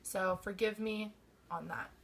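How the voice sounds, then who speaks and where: Young woman, 20s, slightly hoarse, bright tone, chatty storyteller with ums. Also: mid-range voice, fairly even intonation, measured pace, a little hiss or hum. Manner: chatty, many ums, nervous laughs. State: a little hoarse. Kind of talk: casual personal storytelling.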